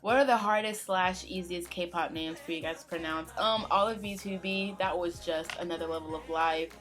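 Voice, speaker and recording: A young American woman co-hosting a casual fan-channel question-and-answer video, talking in a chatty, animated way. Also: fairly low pitch, faint music behind. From United States. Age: young adult. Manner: chatty, animated, quick when opinionated. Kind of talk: casual Q&A chat.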